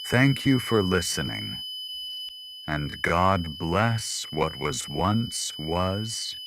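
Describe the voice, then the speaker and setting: A man's voice: low voice, lively intonation, extremely slow. A man solemnly reading a scripted mock emergency alert announcement in a grave, formal way. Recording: clear hum and noise behind voice.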